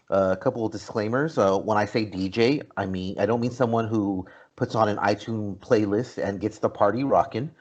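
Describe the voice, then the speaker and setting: A man's voice: low-pitched, a little mellow. A man hosting a podcast, talking casually as he leads a film review discussion. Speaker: podcast host. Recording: dull, lo-fi podcast audio.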